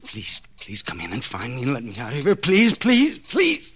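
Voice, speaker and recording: Desperate jealous husband, wildly swinging voice. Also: male voice, mid-range voice, huge pitch swings, fairly bright tone. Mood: frantic panic. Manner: intense, halting, repeating words in mounting panic. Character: desperate, jealous husband, a clock repairman trapped bound in cellar. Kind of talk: 1940s radio suspense acting, inner monologue. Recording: noisy vintage 1940s radio recording.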